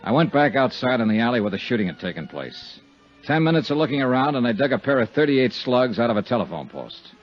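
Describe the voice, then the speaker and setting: An American man playing a tough, unflappable insurance investigator in 1950s radio drama, with a clipped, matter-of-fact delivery and a husky voice. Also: mid-range voice, big pitch swings, fast, close to an everyday voice. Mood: dry, matter-of-fact. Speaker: lead actor and narrator. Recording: muffled 1950s radio recording, music behind.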